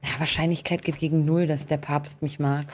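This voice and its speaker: A woman's voice: deep voice, flat delivery, a little fast. A young woman streaming a game, chatting casually in colloquial language with youthful slang. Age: young adult. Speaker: gaming streamer. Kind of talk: casual gameplay commentary and chat. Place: indoor streaming setup. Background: game music.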